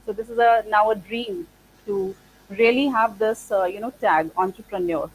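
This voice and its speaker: A woman whose first language is Punjabi speaks as an interview guest, reflective and full of small fillers and restarts. Her voice sits at an ordinary middle pitch. A faint hum of noise sits under the recording. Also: steady tone, moderate pace, rough recording. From India. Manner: thoughtful, many fillers and restarts. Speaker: guest, spoken English trainer. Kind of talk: interview conversation.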